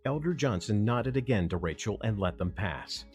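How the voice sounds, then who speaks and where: A man's voice: lowish voice, expressive, normal speed, a little mellow, faint music behind. A man narrating an audio drama in a scripted storytelling style.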